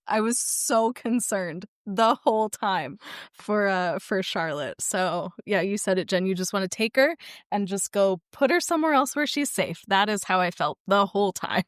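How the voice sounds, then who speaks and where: A woman's voice: medium pitch, fairly bright tone, no room sound, clean studio sound. Candid woman podcast co-host, emphatic. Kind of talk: casual chat.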